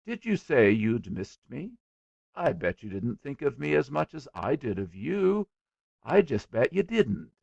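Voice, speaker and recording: A man's voice: medium pitch, very animated, measured pace, gravelly, a little mellow, dry recording, highs cut off, pitched above the performer's own voice, exaggerated voice. A man voicing a stubborn, self-important young schoolboy in a story, with a dogged, earnest, colloquial manner. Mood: eager.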